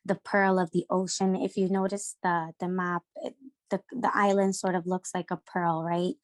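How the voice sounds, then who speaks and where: Low, flat-voiced young woman, halting. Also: dry recording, studio quality. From Sri Lanka. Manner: hesitant, many fillers and restarts. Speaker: podcast guest. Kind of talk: casual discussion.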